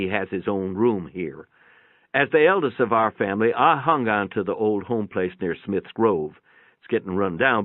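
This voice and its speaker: Guy reading a short story out loud, folksy n chatty, lowish voice, sounds like its coming thru a small radio. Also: dry recording, phone-quality sound. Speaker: narrator. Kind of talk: dramatic reading of a first-person short story. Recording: thin, band-limited, radio-like sound.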